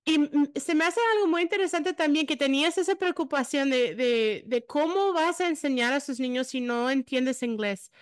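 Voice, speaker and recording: A woman's voice: high-pitched, normal speed, hardly any pauses, dry recording, clean studio sound. A woman in her thirties hosting a podcast interview over a video call, encouraging and affirming, speaking Spanish as a second language after English. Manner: warm-paced, encouraging, affirming. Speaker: podcast host. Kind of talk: casual interview conversation. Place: room with plain gray wall.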